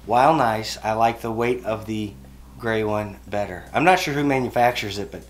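A middle-aged man giving a casual, joking mock review from his bed, with playful formality and hesitant pauses. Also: lowish voice. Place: bedroom, sitting up in bed.

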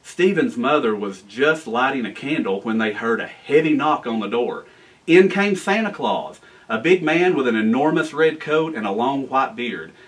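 An American man in his forties reading a children's storybook aloud in an animated, expressive way. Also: medium pitch, normal speed, recorded from a distance. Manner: animated, expressive reading with asides to young listeners. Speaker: guest storyteller, town official. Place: library room with bookshelves, indoors.

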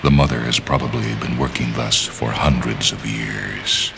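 A man narrating a literary short story from a script, with measured, deliberate phrasing and dramatic pauses. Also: extremely low pitch, gravelly, music in the background.

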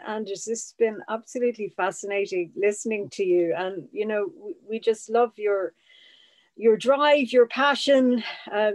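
A middle-aged woman hosts an informal video call. She is chatty and effusive, with a mid-pitched voice. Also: a little mellow. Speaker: host. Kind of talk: informal event hosting. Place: indoor room at home.

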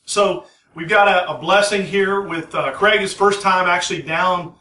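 An energetic man in his forties gives an emphatic presentation at a living-room meeting. His voice is high and bright, picked up from across an echoey room. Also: normal speed, recorded from a distance. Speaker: presenter introducing a speaker. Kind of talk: enthusiastic presentation. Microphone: far camera.

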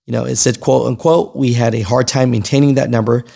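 Creaky-voiced man giving informal news commentary. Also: mid-range voice, steady tone, a little fast, warm tone. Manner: conversational, emphatic, often tags points with "right" and "you know". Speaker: host of a trucking news channel. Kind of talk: informal news commentary, reading article quotes aloud. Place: small furnished room at home, indoors. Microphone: close broadcast microphone on a boom arm.

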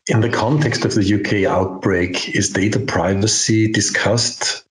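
A man in his sixties hosting an interview on a video call through a headset, reading a clear, deliberate introduction and asking questions. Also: lowish voice, fairly even intonation, echoey room, rough recording. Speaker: interviewer and host.

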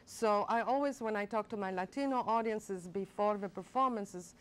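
Woman, lively studio guest. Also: mid-range voice, moderate pace. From Poland. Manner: enthusiastic, animated, many fillers and restarts. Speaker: director of a college performing arts center. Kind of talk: studio TV interview.

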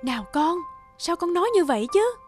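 A gentle mother bird from a cartoon, speaks in a high voice. She is tender, polite and patient, with clear music underneath. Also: sing-song, a little fast. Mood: gently scolding. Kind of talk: cartoon dubbing. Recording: music behind the voice.

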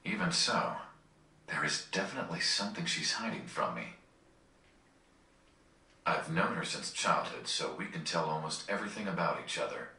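Deep, forceful young resistance leader. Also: male voice, expressive, moderate pace, pauses often, heavily acted. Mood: grave, suspicious. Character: serious, guarded young male resistance leader. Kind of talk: dramatic video game acting. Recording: roomy, distant capture off a screen.

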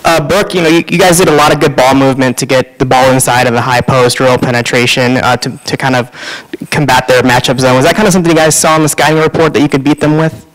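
A male reporter rattles off his questions quickly and informally. His pitch sits in an ordinary middle range. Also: steady tone, fairly bright tone, low-quality recording. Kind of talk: asking press questions.

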